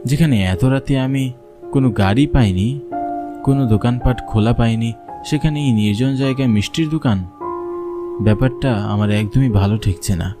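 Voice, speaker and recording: A man's voice: mid-range voice, moderate pace, over music. A man narrating a scripted horror story in the first person, as a storyteller.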